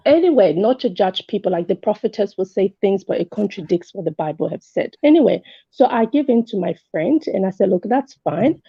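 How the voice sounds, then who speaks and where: Husky, gravelly woman on a muffled call. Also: medium pitch, animated, normal speed, warm tone, no echo. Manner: conversational, re-enacts dialogue. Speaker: caller to a talk show. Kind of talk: casual personal storytelling. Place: remote call into an online show. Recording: muffled, dry call audio.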